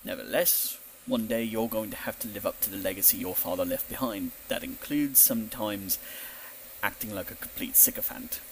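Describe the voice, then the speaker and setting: An older, dutiful family butler, played by a man in an audio drama. His lowish voice is formal and measured, fatherly with a dry wit, over faint noise. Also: natural voice, poor audio. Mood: wry, earnest. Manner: formal, measured, gently wry.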